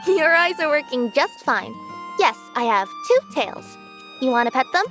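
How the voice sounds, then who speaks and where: High, girlish cat spirit courier. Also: sing-song, music in the background, low-quality recording. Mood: playful. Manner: playful, unhurried, cheerful. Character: friendly, easygoing two-tailed cat spirit girl courier. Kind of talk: animated game voice acting.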